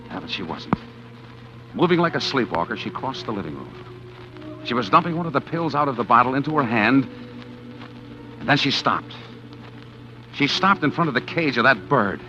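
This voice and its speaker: A man's voice: mid-range voice, sing-song, moderate pace, pauses often, natural voice. An actor playing a desperate, scheming man who narrates his own story in a 1940s radio drama. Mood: tense. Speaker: narrating lead character. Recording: dull old radio broadcast with hiss.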